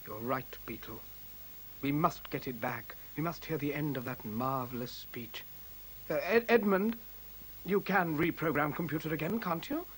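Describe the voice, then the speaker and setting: A man's voice: a little high, normal speed, fairly bright tone, close to an everyday voice, low-quality recording. An actor playing an earnest, agitated man in a 1970s radio play, questioning and insistent. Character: earnest, agitated man pleading to revive a computer. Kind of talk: 1970s radio drama acting. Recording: hissy 1970s radio recording.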